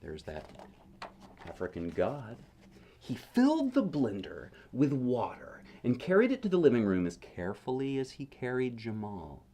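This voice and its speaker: A man's voice: medium pitch, wildly swooping pitch, normal speed, dark tone, a little hiss or hum. A man reading a picture book aloud as a dad would at bedtime, expressively, with casual, wondering asides.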